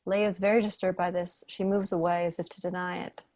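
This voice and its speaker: A woman's voice: on the low side, flat delivery, brisk, darkish tone. A woman reading scripted stage directions as the narrator of a table read on a video call. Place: indoor room on a video call.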